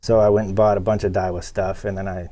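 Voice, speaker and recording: A man's voice: deep voice, flat delivery, fast, dark tone. A man born in Chile and raised in Michigan, a guest telling his story in casual chat, full of "like" fillers. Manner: rambling storyteller, heavy "like" fillers. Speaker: guest angler.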